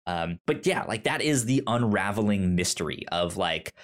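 A man co-hosting a podcast, talking casually and haltingly, repeating words as he thinks aloud. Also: lowish voice, animated. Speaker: podcast co-host. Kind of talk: casual book discussion.

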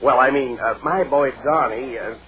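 A man's voice: mid-range voice, normal speed, close to an everyday voice. A muffled, faintly hissy vintage radio recording of a bumbling middle-aged husband, played by a man. He is flustered and hesitant, stammering and exclaiming his way through domestic sitcom dialogue. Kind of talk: 1940s-50s radio sitcom acting.

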